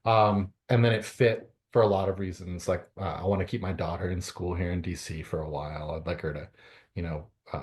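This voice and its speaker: A man's voice: lowish voice, darkish tone. A middle-aged man from Canada answering interview questions, with many fillers and restarts. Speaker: guest author.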